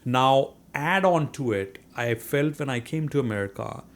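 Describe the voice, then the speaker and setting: A man's voice: mid-range voice, expressive, normal speed. A middle-aged man from India with a strong Indian accent, a guest speaking earnestly in an interview, with many "you know" and "right?" fillers. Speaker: real estate investor guest. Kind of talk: conversational interview.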